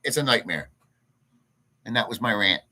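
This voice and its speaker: A man's voice: lowish voice, expressive, normal speed, bright, forceful tone. Blunt, crude man ranting on stream. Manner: blunt, crude, rambling, reads chat aloud. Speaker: livestream host. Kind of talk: casual ranting livestream chat. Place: home in a rural province.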